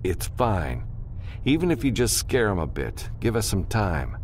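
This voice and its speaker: A man voicing a gruff, capable freelance spaceship pilot who gives terse, casual instructions in an audiobook. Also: fairly low pitch, very animated, measured pace, slight background noise, voice pushed up. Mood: casual, reassuring. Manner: terse, casual, giving orders. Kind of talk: audiobook character dialogue.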